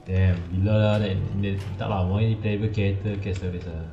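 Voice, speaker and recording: Low, echoey Malaysian game streamer. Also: little pitch movement, a little fast, muffled recording, music in the background. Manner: casual, switches between English and Malay. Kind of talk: casual livestream reactions while playing a game.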